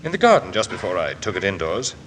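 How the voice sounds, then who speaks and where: Sharp, prickly bachelor under questioning. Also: male voice, sits low, wildly swooping pitch, fast, heavily acted. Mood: defensive. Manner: curt, sarcastic, theatrical. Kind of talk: 1940s radio acting. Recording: old radio, hissy echoing hall.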